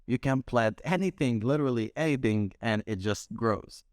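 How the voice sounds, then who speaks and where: A man's voice: mid-range voice, normal speed, no echo, clean studio sound. A young man from Palestine who owns a restaurant, telling his story in an interview and often restarting mid-sentence as he hunts for words. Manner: searching for words, frequent restarts. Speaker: restaurant owner. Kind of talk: casual interview. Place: small restaurant room.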